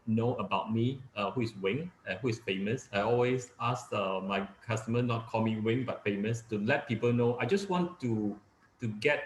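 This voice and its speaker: Low-voiced man in echoey room. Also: slight background noise. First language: Cantonese. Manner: halting, many fillers and restarts. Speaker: guest speaker, real estate agent. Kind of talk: casual webinar talk.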